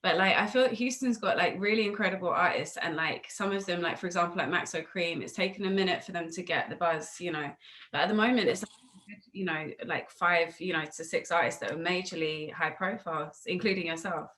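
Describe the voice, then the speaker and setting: A woman's voice: lowish voice, fairly quick, fairly bright tone, low-quality recording. A woman chatting casually as a music interviewer on a video call, asking rambling, chatty questions peppered with filler phrases. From United Kingdom.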